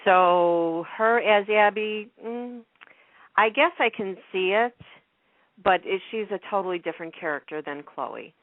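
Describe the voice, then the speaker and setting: A woman's voice: medium pitch, a little slow, no room sound. A woman chatting casually as a panelist on a radio show about television. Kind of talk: casual chat about TV soaps. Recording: thin phone-like radio line.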